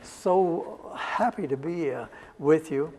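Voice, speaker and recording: A man hosting a local TV arts interview, speaking in a halting way with a formal, welcoming style. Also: fairly high pitch, in a large hall, crowd noise, rough recording. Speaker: TV arts show host.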